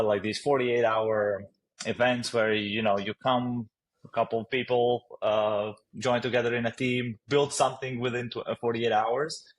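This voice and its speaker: A man's voice: mid-range voice, low-quality recording. A man from Latvia with a Latvian accent, a startup founder answering interview questions hesitantly with many fillers and easy laughs.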